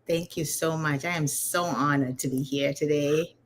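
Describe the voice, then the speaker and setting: A woman's voice: deep voice, fairly even intonation, moderate pace. A woman from Uganda, telling her own story conversationally as an interview guest, in a chatty, informal way. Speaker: interview guest, leadership coach.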